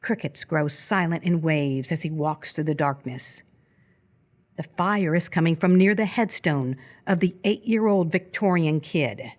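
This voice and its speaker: Low-pitched woman narrating a radio play. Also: a little slow, darkish tone. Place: live stage performance before an audience.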